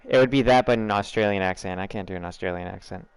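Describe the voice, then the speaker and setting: A man's voice: fairly low pitch, quick pace, clear, resonant tone. A young American man with a General American accent, chatting loosely and laughing as he streams a game and talks to his chat. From United States. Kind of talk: casual livestream chatter over gameplay.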